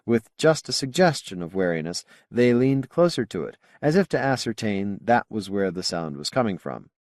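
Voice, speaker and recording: A man reading a science fiction novel as an audiobook narrator, with measured, clearly phrased delivery. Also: lowish voice, very animated, a little mellow, no echo, studio quality.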